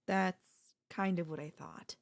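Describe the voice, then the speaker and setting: Yandere sister-in-law woman voice actor, ASMR roleplay: possessive, cool, intimate, very slow delivery, lowish pitch. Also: steady tone, creaky. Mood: tender, possessive. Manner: slow, deliberate, with long pauses. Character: possessive, obsessive yandere sister-in-law, coolly confessing love.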